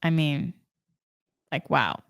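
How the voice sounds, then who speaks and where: A woman's voice: sits low, animated, slow pace, vocal fry, no room sound, studio quality. A woman from Massachusetts with a faint Boston accent, giving a spiritual energy forecast in an earnest, rambling way, restarting and stumbling over words. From Massachusetts, New England. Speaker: spiritual channel host.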